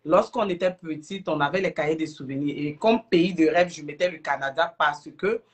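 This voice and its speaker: A middle-aged woman with an Ivorian accent, speaking earnestly and deliberately as a guest in a livestream interview. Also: low-pitched. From Ivory Coast. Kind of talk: explanatory interview talk on a livestream.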